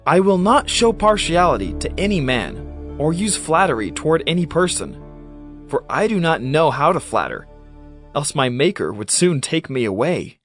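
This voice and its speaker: Fervent young challenger in Bible drama. Highish voice, very animated, insistent declamation, music underneath. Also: male voice, normal speed. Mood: bursting urgency. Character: fervent, indignant young man rebuking his elders. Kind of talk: dramatized scripture reading.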